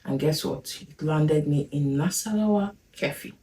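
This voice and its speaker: A woman's voice: deep voice, moderate pace, mellow, slight background noise. A woman in her thirties with a Nigerian accent, chatting casually on a family vlog and laughing often. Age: 30s. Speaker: vlogger. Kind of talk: casual chat. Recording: echoey room from far off.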